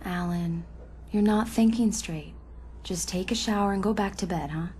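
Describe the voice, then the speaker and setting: An actress playing a writer's caring, gently reproachful wife in a video game, a woman speaking in a soothing, intimate way. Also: mid-range voice, normal speed, slight background noise, lightly acted. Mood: reassuring. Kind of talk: naturalistic game dialogue.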